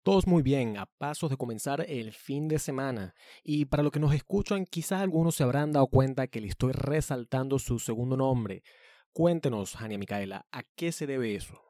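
Male radio host, formal scripted delivery, mellow dark voice, dry close sound. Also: mid-range voice, lively intonation, moderate pace, dry recording. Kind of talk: community radio hosting.

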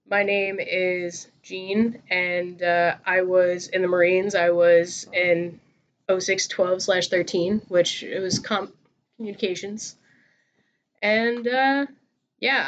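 A woman's voice: mid-range voice, dull audio, slight background noise. A young woman hosting a casual podcast chat, joking and rambling with plenty of fillers. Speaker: podcast host.

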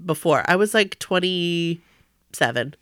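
Bright-voiced woman chatting with laughs. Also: lowish voice, lively intonation, takes it slowly, smooth, no room sound, studio quality. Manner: laughs often, tells anecdotes. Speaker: podcast co-host. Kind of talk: casual chat. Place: basement room under an air conditioner.

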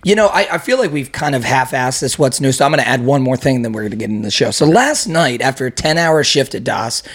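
A man hosting a podcast, his voice hoarse, chatting in an upbeat, mock-grand way with plenty of swearing. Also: medium pitch, animated, fast, fairly bright tone. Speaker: podcast host. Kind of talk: casual crude banter. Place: room in a house used as studio.

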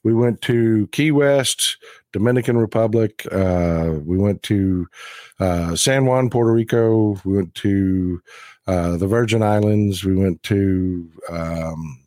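A man with a cold and a slightly hoarse voice, telling a travel story enthusiastically as a podcast guest. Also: fairly low pitch, slow pace, mellow, dry recording, clean studio sound. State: has a cold, voice a bit hoarse. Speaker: regular guest. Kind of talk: casual podcast chat.

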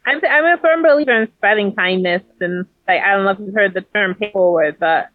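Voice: medium pitch, brisk, no echo. An earnest, encouraging guest talks with lots of "like" and "you know". The voice is tinny and phone-like, with a very dry, close sound. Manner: earnest, encouraging, many fillers. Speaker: podcast guest. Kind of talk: casual podcast conversation over a call.

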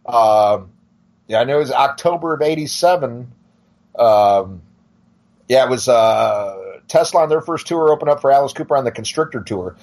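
A man's voice: medium pitch, animated, normal speed, a little mellow. A man in his forties talking casually as a podcast guest, wry and rambling, with some swearing. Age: 40s. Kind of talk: casual chat.

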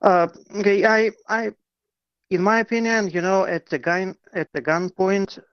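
A man's voice: high-pitched, steady tone, normal speed, clear, resonant tone, no echo, dull audio. A man from Ukraine calling in to a radio talk show, speaking hesitantly with many fillers and restarts over an internet call line. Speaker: caller.

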